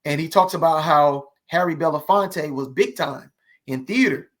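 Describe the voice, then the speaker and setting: A man's voice: a little high, normal speed, fairly bright tone. A man speaking as an interview guest, telling stories conversationally with emphatic rhetorical questions and check-ins with the listener. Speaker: podcast guest, actor.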